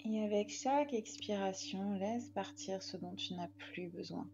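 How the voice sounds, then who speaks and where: A woman leading a guided relaxation meditation as a yoga nidra guide, calm and soothing in her delivery. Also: mid-range voice, unhurried, creaky, highs cut off.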